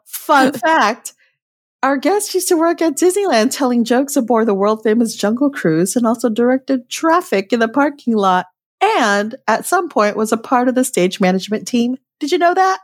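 A woman's voice: a little high, expressive, normal speed, no room sound, clean studio sound. A young woman co-hosting a podcast, chatting loosely and reading a guest's introduction with giggles. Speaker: podcast co-host. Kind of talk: casual chat.

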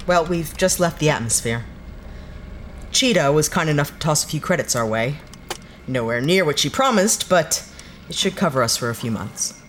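Easygoing female spacefarer played by an actress, low-pitched voice, caring and casual, natural drama dialogue, faint ship ambience. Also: lively intonation, moderate pace, a little hiss or hum. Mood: relaxed, concerned. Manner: casual, gently coaxing.

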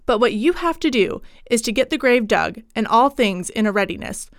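A woman voicing a male country clergyman in a storybook reading, formal, brisk and authoritative. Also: fairly high pitch, animated, dry recording, studio quality. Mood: brisk, impatient.

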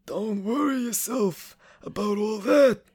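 Stern commanding officer chief, high and husky, formal, coldly menacing, radio drama. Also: male voice, darkish tone, exaggerated voice. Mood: measured, sly. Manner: slow, deliberate, formal.

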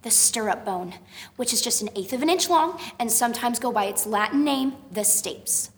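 Woman as high-voiced prickly girl inventor. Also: animated, moderate pace, natural voice. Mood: excited, absorbed. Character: precocious, prickly young girl inventor, excitable and proud. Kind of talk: radio drama acting. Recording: reverberant student radio drama recording.

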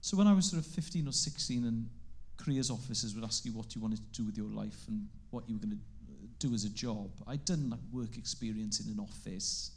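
A man's voice: lowish voice, fairly quick, few pauses. A Welsh man in his forties whose first language is Welsh, a comedian guest telling stories in casual chat and laughing often. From Wales. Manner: chatty storyteller, laughs often.

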